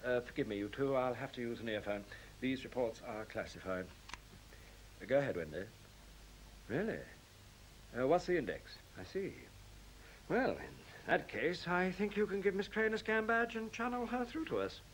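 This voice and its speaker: An actor playing a courteous, businesslike facility official in a radio play, calmly assured with small polite hesitations. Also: male voice, medium pitch, moderate pace, lightly acted, low-quality recording. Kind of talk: radio drama acting. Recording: hissy old radio play recording.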